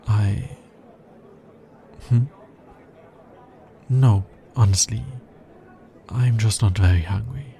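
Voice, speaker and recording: An anxious, logical alien scientist, acted by a man in an intimate ASMR roleplay. His voice is dark and creaky, and he talks very slowly, in careful, formal phrases that often halt. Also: medium pitch, slight background noise. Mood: hesitant, uneasy. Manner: hesitant, formal, halting.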